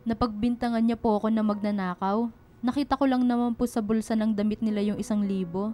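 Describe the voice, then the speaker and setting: A woman's voice: fairly high pitch, fairly even intonation, brisk, warm tone, faint music behind, close to an everyday voice. A woman playing an honest, hardworking laundrywoman in a radio drama, earnest and polite. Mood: humble, grateful. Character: honest, hardworking, humble laundrywoman and single mother. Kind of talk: Filipino radio drama acting.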